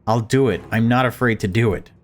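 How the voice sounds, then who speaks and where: A man's voice: medium pitch, fairly quick, soft background music, natural voice. A man voicing a protective mother who commands her family in a horror visual novel. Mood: threatening, fierce. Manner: stern, commanding.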